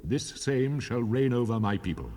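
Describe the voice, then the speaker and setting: An actor playing God as a majestic, solemn, commanding voice in a dramatized scripture reading. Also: male voice, medium pitch, expressive, moderate pace, mellow, natural voice. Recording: hissy 1970s cassette rip.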